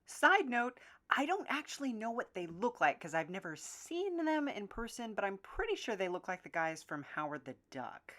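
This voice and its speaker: A woman's voice: medium pitch, very animated, moderate pace, hardly any pauses, a bit bright. A woman presenting casually and narrating script excerpts as a podcast co-host.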